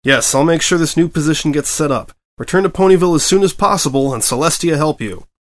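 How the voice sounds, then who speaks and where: A man voicing a furious, frazzled mare who edits a newspaper, shouting and fuming at her reporter in a fanfic audiobook reading. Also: fairly high pitch, lively intonation, fast, voice pushed up, close to an everyday voice. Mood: relieved.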